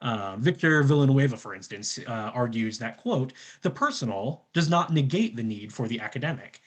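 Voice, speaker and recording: A man's voice: medium pitch, lively intonation, normal speed. A man reading a scripted academic presentation in formal scholarly wording, often putting an "uh" before phrases.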